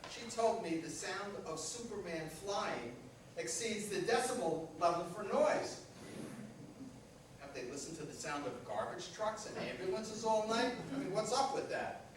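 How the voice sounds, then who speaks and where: High voice, man acting as aging jobless superhero, defensive, self-important, stage comedy reading, very echoey room. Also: animated, a little slow, recorded from a distance. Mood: grumbling. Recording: very echoey hall from afar, faint noise.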